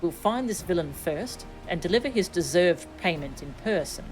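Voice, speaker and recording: A woman reads as a resolute young nobleman in a fantasy game. The delivery is measured and formal, with a commanding edge, over soft game music. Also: on the low side, expressive, natural voice, poor audio.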